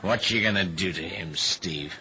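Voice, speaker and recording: An actor in a radio drama playing a taunting, insistent crony who is out for blood. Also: male voice, sits low, normal speed, a crowd in the background. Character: goading, bloodthirsty male crony.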